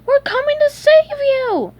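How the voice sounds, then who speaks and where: A woman voicing an excitable, naive cartoon sea sponge boy in an eager, exclamatory storybook reading. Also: sits high, unhurried, dry recording, voice pushed up. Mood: frantic shouting.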